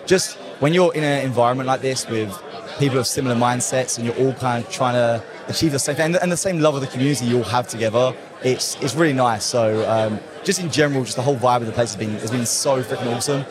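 Upbeat young English man, rapid delivery, loud crowd chatter behind. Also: medium pitch. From England. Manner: fast, upbeat, excitable, laughs often. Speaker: guest, call center company owner. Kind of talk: casual interview at a trade event. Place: busy conference floor. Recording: noisy crowded event hall.

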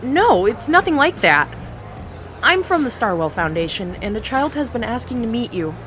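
A woman's voice: a little high, very animated, moderate pace, a bit bright, faint music behind. A woman playing an eager young facilitator in an audio drama, earnest and a bit nervous.

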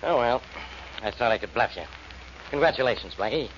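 An actor playing a gruff, badgering police inspector in a 1940s radio crime drama, blustering and accusatory, on a muffled old recording. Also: male voice, medium pitch, expressive, a little fast, noisy background, close to an everyday voice. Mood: accusing, smug.

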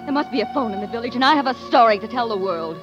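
A woman's voice: fairly high pitch, expressive, rapid-fire, natural voice. An actress playing a brave, lovelorn American woman reporter in an emotional 1940s radio drama. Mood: tender resolve. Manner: emotional, theatrical. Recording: muffled 1940s radio broadcast, music behind.

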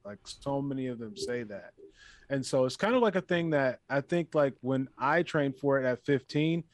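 A man's voice: mid-range voice, animated, moderate pace. A man chatting casually on a podcast, hedging and restarting his sentences often. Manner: rambling, hedging, many restarts. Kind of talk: casual chat.

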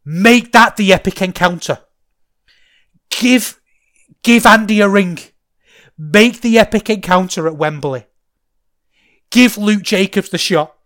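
Excitable Manchester man, rambling podcast talk. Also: high-pitched, slow pace, long pauses. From England. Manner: enthusiastic, rambling, gets loud when excited. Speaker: podcast host. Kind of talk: casual solo podcast chat.

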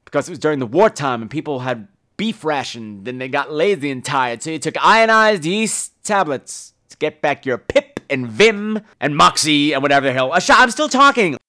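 A man's voice: on the high side, animated, normal speed, bright, forceful tone. A man hosting a podcast in a casual comic monologue, theatrical and profane, often interrupting himself. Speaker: podcast host.

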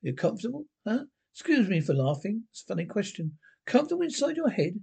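A sly, smug inner voice that taunts and drags out its words, as a man puts it on. It sounds knowing and mocking, with a fairly high voice. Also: sing-song, fast talker, gravelly, mellow, slightly rough, heavily acted. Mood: taunting.

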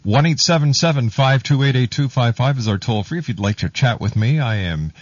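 A man's voice: medium pitch, lively intonation, brisk, no echo, lo-fi sound. A man announcing as a radio host, polished and emphatic. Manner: polished, emphatic, slogan-like delivery. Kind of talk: radio show announcing and promo read.

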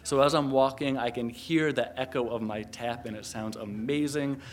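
A man from Connecticut telling a personal story live on stage, animated and laughing often, with many filler words. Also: mid-range voice, moderate pace. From Connecticut, USA. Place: live stage before an audience.

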